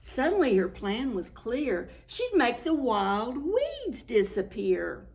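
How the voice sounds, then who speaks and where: A woman reading a picture book aloud to preschoolers as a story time host, expressive and full of exclamations. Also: fairly high pitch, slow pace. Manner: expressive, exclaiming, talks to young children. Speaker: children's librarian hosting story time.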